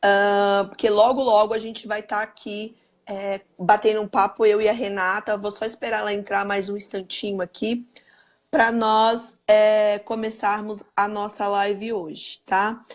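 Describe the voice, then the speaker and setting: A woman with a Brazilian Portuguese accent hosts a live-stream chat in a hoarse voice at a middle pitch. She talks in an upbeat way, greeting viewers by name and using catchphrases, recorded on a webcam. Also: level tone, unhurried. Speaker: host.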